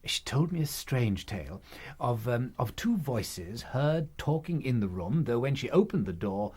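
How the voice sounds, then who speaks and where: An actor in a radio drama playing a mild, bumbling English parish priest, hesitant, polite and easily flustered, with a husky voice. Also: male voice, medium pitch, sing-song, unhurried, a little mellow. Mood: earnest, hesitant.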